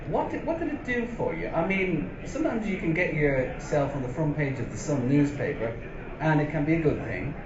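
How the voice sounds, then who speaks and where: A man's voice: a little high, animated, normal speed, crowd noise. A man hosting a live audience interview, asking questions in a formal way. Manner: formal, introducing and prompting questions. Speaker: interviewer, event host. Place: event hall with a live audience. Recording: roomy, dull live hall recording with noise.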